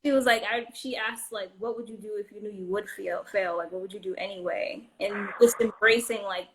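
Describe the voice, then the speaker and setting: A woman's voice: mid-range voice, steady tone, a little fast, a bit bright, husky, low-quality recording. A woman in her late twenties talking as a guest in casual chat, loose and heartfelt with many likes and restarts. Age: 20s.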